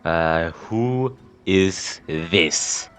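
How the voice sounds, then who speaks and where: A man's voice: low voice, drawn-out words, fairly bright tone, soft background music. A man casually commenting on a game playthrough, wry and laughing, with blunt, profane asides. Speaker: gameplay commentator. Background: game audio.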